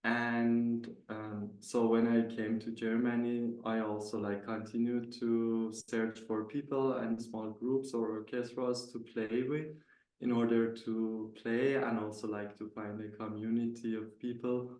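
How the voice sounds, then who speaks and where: Even-toned man. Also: medium pitch, measured pace. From Iran. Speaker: co-founder, architect and violinist. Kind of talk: interview answers. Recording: echoey room.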